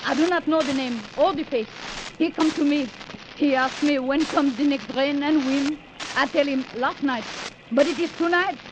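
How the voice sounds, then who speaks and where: An actress playing a scornful old voodoo priestess in a radio play, declaiming in broken English with a Haitian Creole accent. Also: female voice, high voice, normal speed, close to an everyday voice. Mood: wary, defensive. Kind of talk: 1940s radio acting. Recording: noisy old radio, stormy effects.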